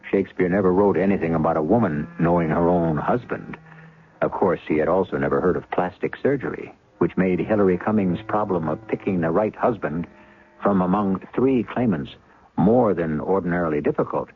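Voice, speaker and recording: A man hosting and narrating a radio mystery drama, speaking to listeners in a wry, measured way. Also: low-pitched. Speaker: host and narrator. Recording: tinny old radio broadcast.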